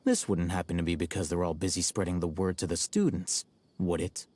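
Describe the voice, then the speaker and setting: A man playing a cool, calculating, self-assured young scholar in a video game, speaking in a measured, formal, deadpan way. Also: low voice. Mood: calm, coldly threatening.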